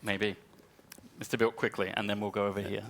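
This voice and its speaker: Guy running a panel, low but really bright sharp voice, keeps it moving. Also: flat delivery, a little hiss or hum. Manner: brisk and directive. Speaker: moderator. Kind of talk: moderating a panel. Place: conference hall.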